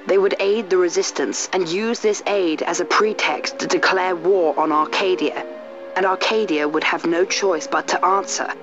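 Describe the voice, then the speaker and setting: Male actor as youthful prince, light boyish voice, formal, polite, earnest, muffled game audio, soft music behind. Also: high voice, moderate pace, lightly acted. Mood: earnest, urgent. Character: earnest, courtly young boy prince of an empire. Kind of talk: dramatic game voice acting.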